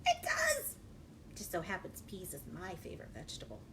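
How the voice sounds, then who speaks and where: A woman children's librarian reading a picture book aloud in an exaggerated, animated way and talking directly to young listeners. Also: fairly low pitch, a little fast. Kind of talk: children's read-aloud storytime. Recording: noisy livestream recording.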